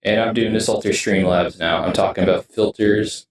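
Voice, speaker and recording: A man giving casual, rambling streamer talk, halting and often trailing off mid-sentence. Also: on the low side, steady tone. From United States. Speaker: video game streamer. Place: indoors in his streaming room setup. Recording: roomy echo, distant mic, music behind.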